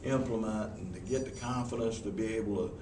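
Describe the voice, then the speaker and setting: A man's voice: fairly low pitch, a little fast. A man with a Southern US accent, a professional speaker answering interview questions before a group in a meeting room, folksy and earnest with frequent restarts. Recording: echoey room, mic far off, noisy.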